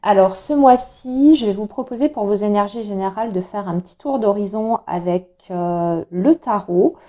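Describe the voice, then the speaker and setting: A woman's voice: mid-range voice, normal speed, warm tone. Woman with a cold reading tarot. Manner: hesitant, many filler sounds, explanatory. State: has a cold, throat a bit hoarse. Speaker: tarot reader. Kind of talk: monthly astrology tarot reading.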